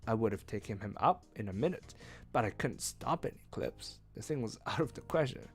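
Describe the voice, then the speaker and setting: A man reading a story aloud as host of a read-along, with expressive narration and casual asides. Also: on the low side, fairly quick, slightly rough. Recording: music behind.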